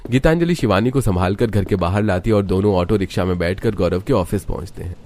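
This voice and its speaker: A man's voice: sits low, fast talker, a little mellow. A man narrating a scripted story in the style of a storybook.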